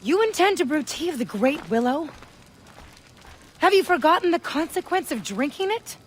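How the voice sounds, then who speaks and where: A tough protective warrior mom in a video game, urgent and emphatic, pitch swings a lot. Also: female voice, sing-song, moderate pace, slight background noise. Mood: angry, defiant. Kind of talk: video game cutscene acting.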